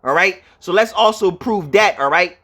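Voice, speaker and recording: The man you would hear teaching at an informal street-corner Bible study, high, sharp voice, hammering each verse home again and again. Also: normal speed. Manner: emphatic and repetitive, punctuated with check-in questions. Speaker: preacher. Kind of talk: informal sermon with scripture reading and commentary.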